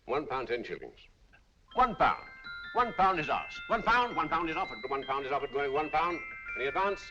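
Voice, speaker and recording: A jovial auctioneer who coaxes bids with salesman patter. His rides a lively, singsong pitch. The old film sound is dull, with music playing beneath. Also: moderate pace. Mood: coaxing. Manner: chatty salesman patter, coaxing and chuckling. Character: jovial fast-talking London auctioneer, male, middle-aged. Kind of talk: 1940s film dialogue.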